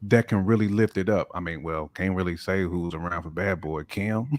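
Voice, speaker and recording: A man's voice: low voice, brisk, dry recording. A middle-aged American man chatting informally and in slang as a panelist in a hip hop discussion. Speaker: talk show panelist.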